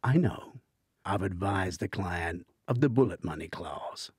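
A man's voice: sits low, lively intonation, measured pace, darkish tone, slightly rough, dry recording, studio quality, exaggerated voice. A man voicing a stubborn, earnest tech colleague in an audiobook, defensive and matter-of-fact.

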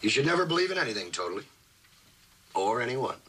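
A man's voice: mid-range voice, sing-song, fast, a bit bright, breathy edge. An actor playing a cynical, casually needling man in a mid-century television drama. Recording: noisy old television drama soundtrack.

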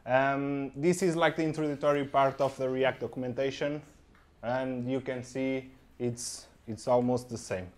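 A young man from Portugal with a Portuguese accent, giving a conference tech talk in halting English full of ums and okays, recorded on a handheld mic in a room. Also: mid-range voice, fairly even intonation. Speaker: conference speaker. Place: conference room with projector, indoors.

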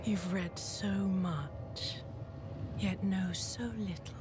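A woman playing a calm, seductive and menacing demon queen in a dark fantasy game, speaking in a breathy, deliberate way. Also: medium pitch. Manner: slow, breathy, deliberate delivery. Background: music.

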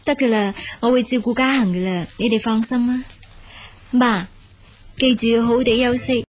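Adult elder sister played by a woman, Hong Kong Cantonese, fairly high voice, firm, caring, decisive, radio drama. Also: steady tone, moderate pace, close to an everyday voice. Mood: firm, reassuring. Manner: reassuring, firm.

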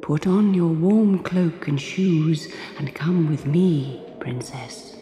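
Woman voicing a slow, luring North Wind spirit. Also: low-pitched. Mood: luring, commanding.

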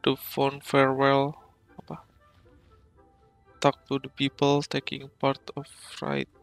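A man's voice: medium pitch, monotone, takes it slowly, vocal fry, faint music behind. A man with an Indonesian accent giving casual gameplay commentary in short muttered remarks. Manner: muttering brief remarks, echoing game lines. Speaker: gaming video maker.

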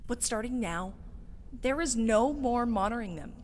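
A woman playing an exasperated, quirky, all-powerful judge in a comedic fan dub, scolding in an animated, colloquial way. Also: a little high, moderate pace, slight background noise, lightly acted. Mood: indignant, scolding.

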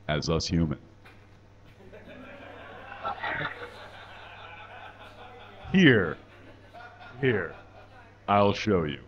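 A man playing a pious, patronising, folksy puppet who lectures deliberately in a mock-sermon at a live comedy show. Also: fairly low pitch, muffled recording. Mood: patient, instructive. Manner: preachy, deliberate, drawn-out phrasing. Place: small indoor stage. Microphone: handheld microphone.

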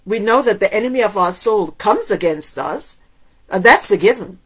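A woman's voice: medium pitch, expressive, normal speed, fairly bright tone, husky, poor audio. A middle-aged woman from Cuba whose first language is Spanish, preaching a sermon to camera in an emphatic way, asking many rhetorical questions. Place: indoors in front of a waterfall backdrop.

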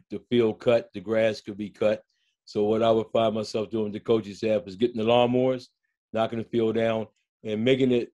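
An older man, a school coach, recalls his past in a slow, thoughtful way over a video call. His voice sits low and mellow, with little rise and fall. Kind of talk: panel discussion. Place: home room, indoors.

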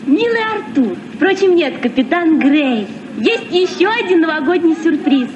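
A woman playing a bright young hostess in a vintage radio play. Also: very high-pitched, very animated, moderate pace, background noise. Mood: lively, affectionate. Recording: muffled old radio recording, crowd behind.

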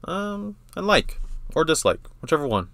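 Young guy doing a game trailer reaction, really animated, slangy, dry close sound, repeats himself. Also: on the high side, moderate pace, creaky, dry recording. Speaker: reaction video host. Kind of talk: casual excitable gamer reaction commentary.

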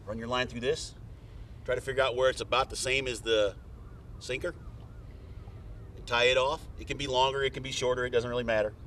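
A man's voice: medium pitch, steady tone, fairly quick, long pauses, a bit bright. A middle-aged man, casually explaining step by step how to tie a fishing rig. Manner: plain, folksy, step-by-step instructions. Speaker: fishing guide. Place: outdoors on a boat on a lake. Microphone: camera mic from a few feet away. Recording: distant outdoor camera audio with faint boat noise.

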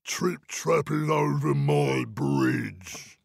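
A man voicing a huge, greedy male troll who speaks in a slow, booming, menacing way in a storybook. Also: huge pitch swings, creaky, dry recording, studio quality, heavily acted.

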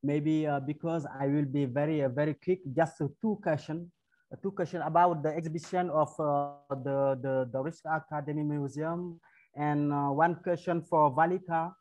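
A middle-aged man, a native French speaker with a French-speaking accent, asking questions haltingly in English on a video call. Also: a little high, fairly even intonation, darkish tone, poor audio. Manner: hesitant, halting second-language English. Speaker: webinar participant asking questions. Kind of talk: spontaneous audience question. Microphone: wired earphone mic held near mouth.

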